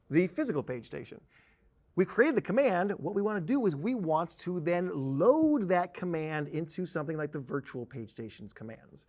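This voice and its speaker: A man with a slightly high voice leads a software tutorial. He explains things informally, as a trainer walking learners through a screen, and drops in playful asides. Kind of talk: conversational instructional screen-share tutorial.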